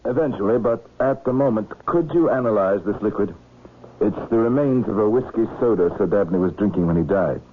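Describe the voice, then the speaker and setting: An actor playing a measured magistrate in a radio mystery, asking clipped, understated questions with dry calm. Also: male voice, fairly low pitch, close to an everyday voice. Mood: probing, calm. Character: measured, dryly probing male island magistrate investigating a death. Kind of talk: 1970s radio mystery acting. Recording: muffled phone-like old radio, faint noise.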